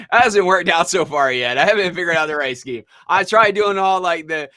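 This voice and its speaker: A man hosting a casual live stream, joking around and greeting viewers by name in an animated way. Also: high-pitched, normal speed, no echo, clean studio sound. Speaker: live-show host.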